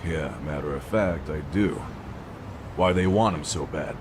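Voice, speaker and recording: An actor playing a brash, hot-headed gang boss in a video game dub, with slangy, swaggering tough talk. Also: male voice, very deep voice, measured pace, background noise. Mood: suspicious. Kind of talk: English-dub video game cutscene acting. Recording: music behind the voice.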